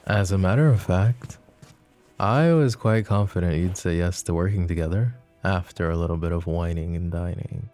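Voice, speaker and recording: A man voicing a possessive, flirtatious mafia boss in an ASMR roleplay drama, with a low, soft, teasing drawl. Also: normal speed, faint music behind, lightly acted. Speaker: voice actor.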